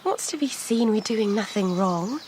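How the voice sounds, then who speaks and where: A woman's voice: mid-range voice, animated, normal speed, a little hiss or hum, natural voice. An actress playing a reckless, fearless queen in a radio drama, with a commanding, clipped, defiant manner. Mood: imperious. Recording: loud outdoor birds and ambience.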